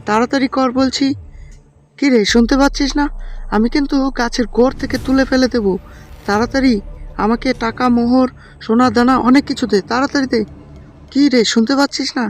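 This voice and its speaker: A woman's voice: high voice, fairly even intonation, normal speed, pauses often, lightly acted. A woman playing a greedy, bullying village woman in a cartoon, with a demanding, insistent way of talking. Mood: demanding, threatening. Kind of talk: cartoon voice acting.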